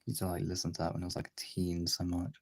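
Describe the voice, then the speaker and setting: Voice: low voice, completely flat delivery, quick pace, darkish tone, no echo, clean studio sound. A livestreaming voice actor chatting casually and in a rambling way with viewers.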